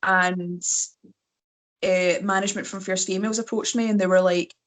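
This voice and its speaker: A woman's voice: mid-range voice, steady tone, normal speed. A Scottish woman in her thirties, a wrestler chatting as a podcast guest, telling rambling self-mocking stories. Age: 30s. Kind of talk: casual podcast chat.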